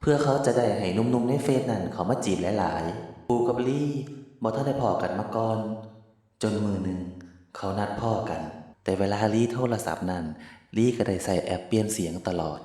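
A man's voice: fairly low pitch, fairly quick. A man narrating a comic cartoon in storytelling fashion with an Isan accent. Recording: echoey with loud music.

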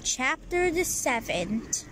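A high-pitched voice reads a comic book aloud at a slow, careful pace. The delivery is expressive and homemade, with the lines acted out. It is recorded outdoors with clear background noise. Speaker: amateur storybook reader. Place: outdoors, seated above paving. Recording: noisy outdoor phone recording.

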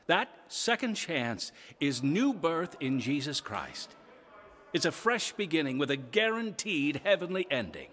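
Animated, earnest preacher. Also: male voice, on the high side, measured pace. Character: earnest male prison preacher offering hope. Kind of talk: sermon in radio drama.

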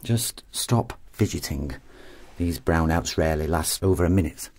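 An actor playing a pompous, irritable robotics designer in an audio drama, haughty, sarcastic and easily exasperated. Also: male voice, sits low, moderate pace, darkish tone. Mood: sarcastic, irritated.

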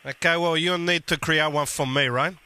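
A man's voice: fairly high pitch, fairly even intonation, moderate pace, bright, forceful tone, clear, resonant tone. A man with an Australian accent playing a brash, cocky young muscle-bound bloke who makes pushy, bragging prank calls and says "mate" constantly. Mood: boastful. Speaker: prank caller. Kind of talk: prank call comedy character.

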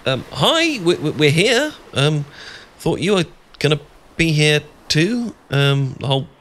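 A man's voice: on the high side, very animated, unhurried, a bit bright. A man with a British accent acting an awkward, easily flustered, bashful friend in a romantic ASMR audio roleplay, stammering and rambling as he goes. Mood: awkward, hesitant.

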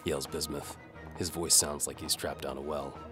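Man, extremely low voice, wry deadpan first-person narration, noir-style audio drama, prominent music bed. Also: normal speed.

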